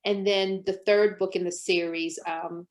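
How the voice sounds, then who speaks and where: A woman's voice: lowish voice, steady tone, unhurried. A middle-aged woman romance author answering interview questions in a reflective way, often hedging with "um" and "sort of". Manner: reflective, many ums and sort ofs.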